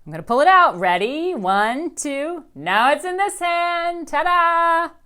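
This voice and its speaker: Storybook figure: a showy, stubborn little girl, high, loud and lively, read by a woman. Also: fairly quick. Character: dramatic, stubborn young girl.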